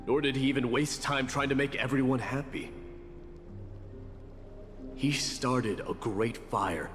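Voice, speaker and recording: An actor playing a brooding, resolute male warrior in a video game, speaking in a measured, solemn storyteller's manner. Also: medium pitch, natural voice. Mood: stirring, resolute. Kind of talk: dramatic video game dialogue. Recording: long hall reverb, clear background music.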